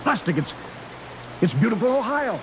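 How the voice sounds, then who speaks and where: An actor playing a commanding, rattled spaceship captain who clings to logic, in 1950s radio drama style. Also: male voice, very high-pitched, very animated. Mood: amazed. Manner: stern, clipped orders, halting when baffled. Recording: vintage radio recording with rain noise.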